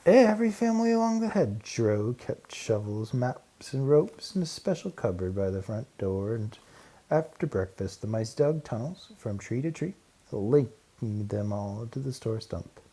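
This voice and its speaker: A man reads a children's story aloud in a mid-pitched voice. He goes very slowly, with deliberate pauses and dramatic emphasis on key words. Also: big pitch swings, dark tone, slightly rough. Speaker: audiobook narrator.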